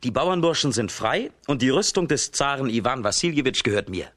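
A strong young hero of a radio fairy tale. His voice is medium in pitch and recorded close and dry. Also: big pitch swings, a little fast, a bit bright, no echo. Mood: pleased, wry. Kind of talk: radio play acting for children.

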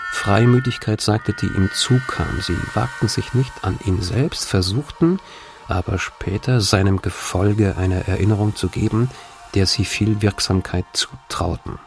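An actor reading as a formal narrator of an old historical chronicle, measured and literary, in a creaky voice. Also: male voice, lowish voice. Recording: music under the voice.

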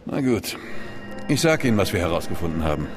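An actor playing a chatty, curious, sceptical police detective in a radio crime drama, conversational and probing. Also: male voice, deep voice, sing-song, fast talker, over music.

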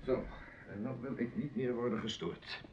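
An actor in a Dutch radio drama playing a bourgeois husband, a doctor who hunts, hesitant and condescendingly polite. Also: male voice, medium pitch, moderate pace, natural voice. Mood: matter-of-fact. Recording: muffled, noisy old radio play recording.

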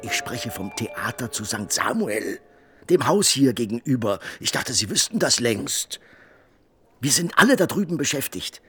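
An actor playing an obliging, talkative scene painter in a German radio drama, courteous and confiding, with a husky voice. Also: male voice, mid-range voice, big pitch swings, unhurried, fairly bright tone, dry recording, soft background music, full character voice. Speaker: supporting actor.

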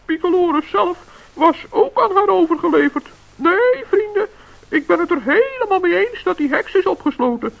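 A kind-hearted little forest gnome in an old radio play, speaks in a very high, gravelly squeak. The recording is dull and lo-fi. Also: male voice, sing-song, moderate pace, heavily acted. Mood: earnest.

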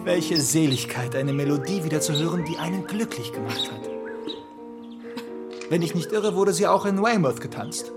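Sly young charmer, very lively intonation, radio play, loud music behind. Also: male voice, fairly high pitch, moderate pace. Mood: charming, teasing. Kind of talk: German radio drama acting.

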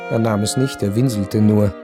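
An actor playing a melancholy aristocrat who narrates a literary radio drama in a brooding, deliberate way. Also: male voice, lowish voice, fairly even intonation, close to an everyday voice. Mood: desolate. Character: melancholy Viennese aristocrat recounting his lonely last night. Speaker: first-person narrator. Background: string music.

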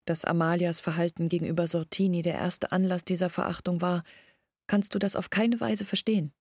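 An embittered young village woman in an intimate literary radio play. Her voice is fairly low and gravelly, recorded very dry and close. She confides her family's shame earnestly, with measured phrasing. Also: steady tone, darkish tone, no room sound. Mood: bitter, earnest. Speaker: radio drama actor.

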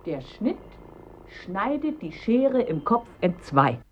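An actress playing a brooding woman who muses in riddling phrases, with a stylised, expressive radio drama delivery. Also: medium pitch, slow pace, husky, highs cut off, exaggerated voice. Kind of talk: poetic radio drama recitation.

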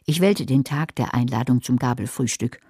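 A woman's voice: very deep voice, normal speed, mellow, breathy edge, dry recording, exaggerated voice. An actress playing a nervy, sardonic woman who schemes and narrates her own crime in a German radio drama, with dry, wry delivery. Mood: satisfied, dry. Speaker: narrator and lead character.

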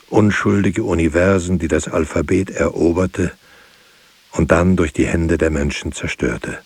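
An actor playing a solitary, grieving writer in a poetic radio drama, musing in solemn, measured, incantatory repetitions. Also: male voice, deep voice, a little mellow, slightly rough, no echo, exaggerated voice.

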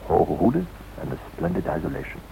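A man's voice: low voice, big pitch swings, fairly quick, creaky, mellow, exaggerated voice, rough recording. An actor playing a wry, knowing male narrator in a radio play, speaking in terse phrases. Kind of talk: scripted radio drama narration. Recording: faint background noise on old recording.

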